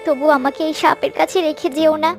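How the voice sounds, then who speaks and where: A gentle, dutiful young daughter from a fairy-tale cartoon. The voice is high and earnest, with the pleading manner of someone long mistreated. Light music sits behind it. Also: female voice, fairly even intonation, a little fast, creaky.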